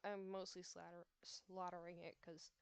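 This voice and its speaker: A woman's voice: on the low side, level tone, normal speed, smooth, no room sound. A woman with an American accent reading a visual novel aloud, stumbling over names and breaking off to chat. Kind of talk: reading game text aloud with chatty asides. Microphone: laptop microphone. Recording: dull lo-fi audio with game music behind.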